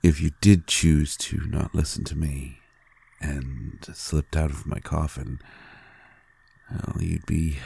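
A man playing a tender, adoring vampire lover in an intimate audio roleplay, gently teasing in a hushed, halting, gravelly voice. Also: heavily acted. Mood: fond, gently teasing. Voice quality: very deep, gravelly and husky. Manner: slow, hushed, halting with pauses.

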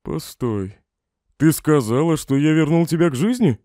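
Performer as male skeleton monster, troubled, emotional, mellow voice, lively pitch, comic dub. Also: moderate pace, no room sound, clean studio sound, natural voice. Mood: curious, casual. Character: troubled young male skeleton monster, self-doubting, dramatic.

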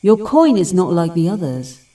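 A woman playing a wise, solemn temple guardian in an audio drama, with a measured, grave and formal manner. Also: fairly low pitch, sing-song, dark tone, distant mic.

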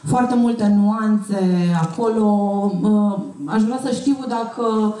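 A woman's voice: fairly high pitch, fairly even intonation, mellow. A middle-aged woman moderates a stage Q&A informally, thinking aloud with hesitations. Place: auditorium stage, indoors. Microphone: handheld microphone through PA. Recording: echoey hall, distant from camera.

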